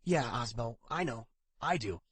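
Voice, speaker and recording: A man's voice: mid-range voice, lively intonation, a little slow, slightly rough, dry recording. A man voicing a cheeky, loyal young stable lad in audiobook dialogue, with casual, colloquial talk. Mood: reassuring, teasing.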